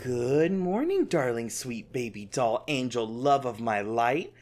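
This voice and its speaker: Gleeful monster captor, theatrical sing-song taunts, pitch swinging all over, sickly sweet. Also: big pitch swings, a little slow, background noise. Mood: sweetly threatening. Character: gleeful sadistic monster captor, mocking, cloyingly affectionate. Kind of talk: ASMR roleplay audio drama.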